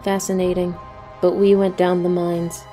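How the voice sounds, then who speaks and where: A lowish, soft-edged cartoon pony lady, acted by a woman, utterly monotone. She is deadpan and dryly contrary, never sounding excited, with music underneath. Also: moderate pace, close to an everyday voice, low-quality recording. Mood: indifferent. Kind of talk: fan audio drama, cartoon-style acting.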